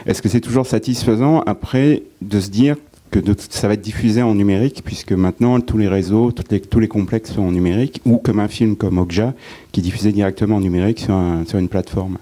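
A man's voice: on the low side, fairly quick, mellow. A man moderating a public talk, putting long, winding questions to a guest.

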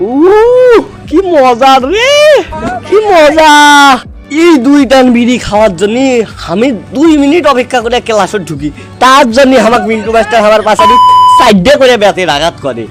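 A man voicing a cheeky, cowardly truant schoolboy in a comic cartoon, whiny and exclaiming with drawn-out sighs in a Bogra accent. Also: very high voice, expressive, fairly bright tone, soft background music. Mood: blissful, sly. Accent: Bogra, northern Bangladesh. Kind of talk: comic cartoon dubbing in rural dialect.

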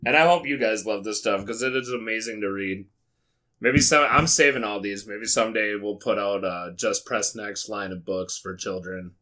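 An American man hosting a comedic read-aloud, dropping wry, sweary, deadpan asides in a casual way. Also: fairly low pitch, normal speed. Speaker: YouTube host. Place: small indoor studio with foam panels.

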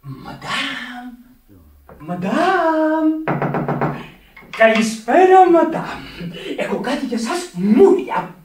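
A man's voice: extremely high pitch, very animated, pauses often, a little hiss or hum. Casting: slick travelling salesman, pushy showy patter, swooping intonation, echoey radio-play recording. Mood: ingratiating. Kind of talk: Greek radio theatre acting. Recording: echoey, distant from the microphone.

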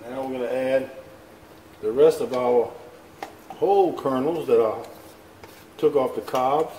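A man's voice: mid-range voice, a little slow, warm tone. Folksy man cooking in an echoey kitchen. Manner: folksy, easygoing, talks the viewer through each step. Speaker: cooking show host. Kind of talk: casual cooking demonstration. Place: home kitchen at the stove. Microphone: camera over the stove, hands in frame. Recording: echoey kitchen, faint pan noise.

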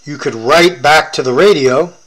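A man's voice: fairly high pitch, moderate pace, fairly bright tone, rough recording. An American man demonstrating a product in a casual hands-on tutorial, explaining informally step by step.

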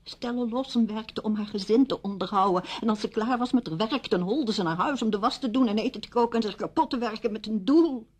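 A grieving middle-aged widow in a radio play. Her voice is husky, and she speaks with weary, bitter sarcasm. She sounds proud and defensive. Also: fairly low pitch, moderate pace, pauses often, darkish tone. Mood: bitter, agitated. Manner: sardonic, weary. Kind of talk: Dutch radio play acting.

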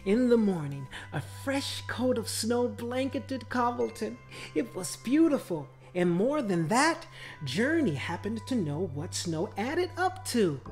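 A man reading a children's story aloud as narrator, dramatic and deliberate, drawing out key words. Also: very high voice. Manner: expressive, deliberate phrasing with drawn-out words. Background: music.

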